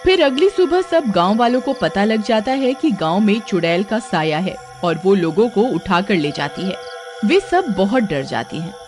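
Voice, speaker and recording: Woman storyteller reading a scripted tale, music playing clearly behind her. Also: mid-range voice, big pitch swings, normal speed. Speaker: story narrator.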